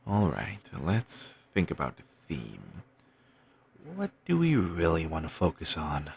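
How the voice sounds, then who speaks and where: A man playing a wistful, self-doubting wandering bard in an intimate audio roleplay, musing in courteous, formal phrases with thoughtful pauses and a gravelly, husky voice. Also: rumbling low, huge pitch swings, darkish tone, heavily acted. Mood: warm, curious. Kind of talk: intimate one-sided audio roleplay acting.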